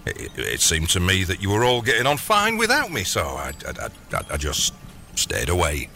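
A man's voice: low voice, huge pitch swings, normal speed, pushed and bright, slight background noise, exaggerated voice. An actor playing a bumbling, evasive middle-aged father turned crime boss in an audio comedy, stammering and hesitating as he tries to placate. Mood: sheepish, apologetic. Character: bumbling, evasive long-absent dad turned gangster boss. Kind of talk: audio comedy drama acting.

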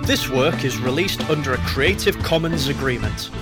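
A man's voice: mid-range voice, expressive, normal speed, loud music behind the voice. A man reading scripted closing credits as the announcer of an audio drama.

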